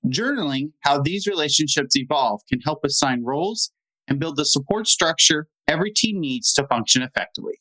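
A man speaking as the host of a podcast, addressing his listeners in clear, organised phrases. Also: on the high side, animated, brisk, sharp tone, low-quality recording. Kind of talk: conversational podcast hosting.

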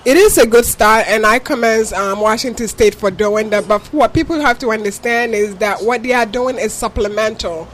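A woman's voice: a little high, normal speed, hardly any pauses, slight background noise. Ghanaian woman explaining insurance. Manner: earnest, explains patiently with examples. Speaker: insurance agent guest. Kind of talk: interview answers.

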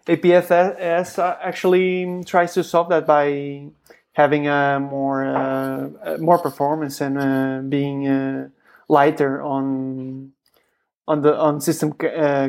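A man co-hosting a casual tech podcast, animated and quick to laugh, with excited outbursts. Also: a little high. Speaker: podcast co-host.

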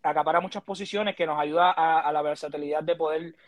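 Measured baseball analyst. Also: male voice, fairly high pitch, steady tone, dry recording. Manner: measured, filler "este" and "verdad". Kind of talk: casual sports talk.

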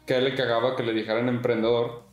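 A man's voice: medium pitch, level tone, fast, echoey room, soft background music. A man in his twenties with a Mexican accent, talking as a podcast guest in a laid-back, slangy way. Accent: Mexican Spanish. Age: 20s. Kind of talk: casual podcast interview.

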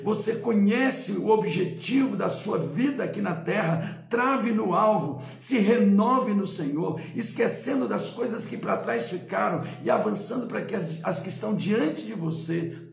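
High-voiced preaching man, gravelly. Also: expressive, measured pace, few pauses, roomy sound, soft background music. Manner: emphatic preaching with repeated exhortations. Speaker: pastor. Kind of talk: sermon.

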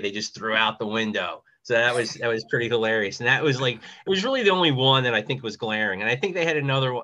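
A man with a very bright, forward voice, chatting as a guest on a panel. He rambles casually and often says "you know". The recording is slightly dull and lo-fi. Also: fairly low pitch. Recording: dull, lo-fi sound.

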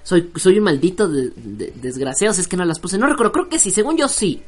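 A man hosting an online radio show, chatting casually and joking, with many fillers and restarts as he reads out listener shout-outs. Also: sits high, sing-song, fairly quick, husky, soft background music.

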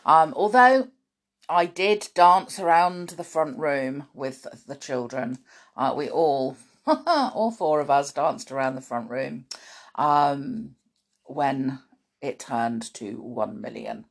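Woman, croaky, gravelly, slow-paced, lively pitch, chatty with children. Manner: warm chatty aside-filled talk with many ums and little laughs. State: croaky morning voice. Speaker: children's podcast host and storyteller. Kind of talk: chatty spoken intro to children's story podcast.

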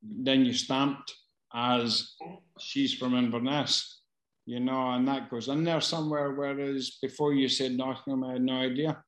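A man, a casting director answering questions in a casual Q&A, halting with many fillers and restarts. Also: medium pitch, level tone, fairly bright tone, reverberant, low-quality recording. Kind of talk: casual Q&A advice.

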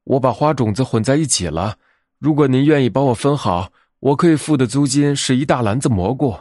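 Guy doing a polite little bunny from a bedtime story, sing-song pitch. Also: expressive, brisk, darkish tone, dry recording, clean studio sound, lightly acted. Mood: hopeful. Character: polite little rabbit with a favour to ask.

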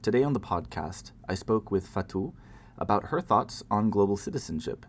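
A man's voice: lowish voice, lively intonation, normal speed, a little hiss or hum. A man hosting a podcast, introducing his guest in a casual, friendly way with lots of fillers and restarts. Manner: friendly, rambling with many fillers. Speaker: podcast host, researcher and educator.

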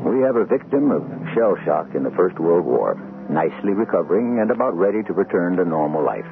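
An actor playing a solemn, philosophical radio mystery host, narrating with gravelly, deliberate, ominous delivery. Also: male voice, sits low, expressive. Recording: tinny old radio broadcast. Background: music.

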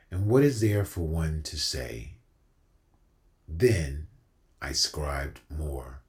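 A man's voice: a bass voice, a little mellow. A man reciting spoken-word poetry like a preacher, with a solemn, exhortative, rhythmic delivery and emphatic repetition.

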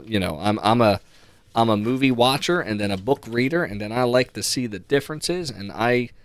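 A man's voice: mid-range voice, fairly bright tone, no room sound. Dry-sounding man hosting a podcast. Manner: opinionated, conversational asides. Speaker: podcast host. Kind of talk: casual book recap with excerpts read aloud.

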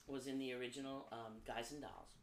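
A woman guest on a podcast, telling animated stories from her life, swearing freely and laughing often. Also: a bass voice, a little fast, crowd noise. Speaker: podcast guest, Broadway performer. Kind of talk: casual storytelling chat. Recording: echoey room with faint background noise.

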